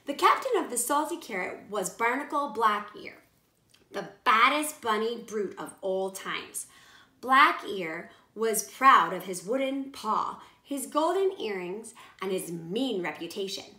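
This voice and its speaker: A woman reading a children's storybook aloud in animated narration. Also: mid-range voice, unhurried. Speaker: storybook reader. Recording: roomy echo.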